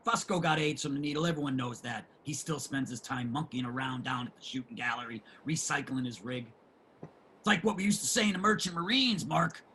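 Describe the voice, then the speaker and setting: Man acting a gravelly, combative street gossip. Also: mid-range voice, a little fast, bright, forceful tone, a little hiss or hum, poor audio. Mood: gossiping, mocking. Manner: combative, crude street talker. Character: brash, boastful, gossiping homeless ex-merchant-marine man. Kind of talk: theatrical table reading of a stage play.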